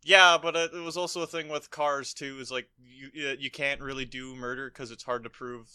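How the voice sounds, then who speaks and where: A man's voice: medium pitch, fairly even intonation, normal speed, pushed and bright, clean tone. A man co-hosting a podcast in casual movie-review chat, blunt and given to swearing. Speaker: podcast co-host.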